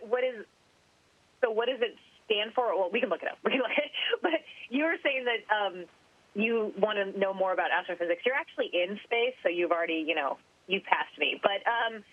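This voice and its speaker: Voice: mid-range voice, no echo. A podcast host interviewing a guest, asking questions in a curious, chatty way. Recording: tinny phone-like line, dry.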